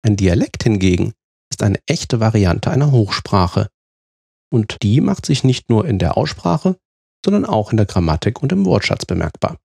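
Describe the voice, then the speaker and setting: A man from Germany giving a scripted, measured explanation step by step, like a presenter. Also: lowish voice, sing-song, gravelly, a little mellow, breathy edge, no echo, studio quality.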